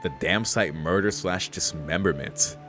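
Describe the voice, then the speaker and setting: A man's voice: low-pitched, measured pace, music in the background. A young man giving casual game commentary as a streamer, musing in a laid-back, slangy way and reading text aloud. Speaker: streamer playing a visual novel.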